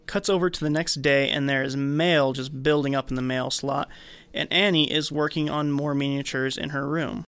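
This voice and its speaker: Higher-voiced man recapping, close dry sound. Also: steady tone, moderate pace, fairly bright tone, clear, resonant tone, no echo. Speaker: podcast co-host. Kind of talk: casual film recap discussion.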